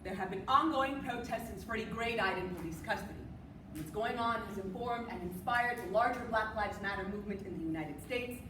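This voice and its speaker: A woman's voice: medium pitch, brisk. A young adult woman delivering a rehearsed, dramatic readers theater speech as a student performer. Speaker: readers theater performer on a college forensics team. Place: indoor room, live stage performance. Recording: echoey room from far off, noisy.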